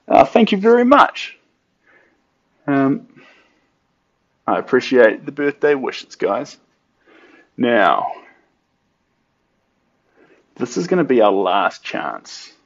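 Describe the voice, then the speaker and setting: A man's voice: mid-range voice, creaky, breathy edge, dull audio. A man, chatting casually to his viewers while he paints. He stays upbeat and talkative and drifts into snatches of song. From New Zealand. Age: late 20s. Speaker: artist streaming while painting. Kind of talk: casual live-stream chat while painting.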